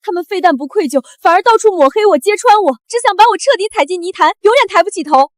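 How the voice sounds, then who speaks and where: An actress playing a wronged, resilient young top student in a short drama, speaking in earnest, declamatory phrases. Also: female voice, extremely high pitch, lively intonation, fast, no room sound, studio quality. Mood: aggrieved. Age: young adult.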